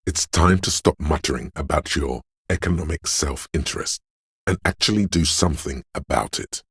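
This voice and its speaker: A man playing a slick, money-obsessed inner voice in a video game, a cajoling, flamboyant hype man with streetwise swagger and a gravelly delivery. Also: moderate pace, fairly bright tone, no echo, studio quality, heavily acted. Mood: hustling hype. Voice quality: gravelly, very deep. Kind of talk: theatrical video game character monologue.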